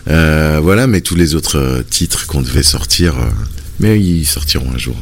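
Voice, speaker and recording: A man with an extremely low, deep voice talks about his songs as an interview guest. He speaks hesitantly, stopping often and restarting his sentences. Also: expressive, normal speed. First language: French. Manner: hesitant, many fillers and restarts. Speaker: musician guest. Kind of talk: relaxed conversational interview.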